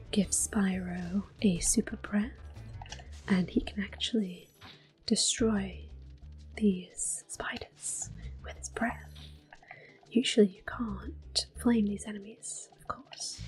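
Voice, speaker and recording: A woman softly whispering gentle, unhurried ASMR commentary as they play a video game, explaining each step in a breathy voice. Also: mid-range voice, fairly even intonation, faint music behind. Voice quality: breathy whispering, soft-spoken. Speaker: gaming ASMR video host. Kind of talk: soft-spoken ASMR gameplay commentary.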